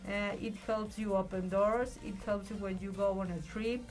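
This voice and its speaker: A woman guest on a radio talk show, speaking in a halting way with many hesitations. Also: medium pitch, fairly even intonation, darkish tone, soft background music. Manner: halting, many "uh" pauses and restarts. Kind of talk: casual radio interview.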